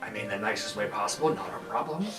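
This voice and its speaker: Very deep female voice, animated intonation, casual game talk, echo, music behind. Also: fast talker. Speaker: player on a game podcast. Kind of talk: casual improvised roleplay. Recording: echoey, distant mic, music behind.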